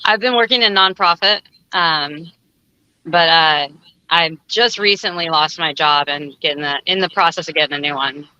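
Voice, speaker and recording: A woman's voice: sits low, normal speed, bright, forceful tone, clear, resonant tone, dry recording. A woman chatting casually as a guest on a live online stream. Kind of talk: casual chat. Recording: dull lo-fi audio.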